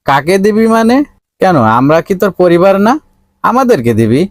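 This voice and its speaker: A man's voice: sits high, very animated, moderate pace, no echo, clean studio sound. A man playing a cold, grasping father in a cartoon, questioning his son with reproach. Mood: indignant. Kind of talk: cartoon voice acting.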